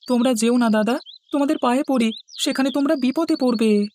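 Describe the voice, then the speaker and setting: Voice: high-pitched, a little fast, darkish tone, slight background noise, lightly acted. A performer voicing an honest, humble, simple-hearted youngest brother in a cartoon, earnest and pleading. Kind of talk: Bengali moral-tale cartoon voice acting.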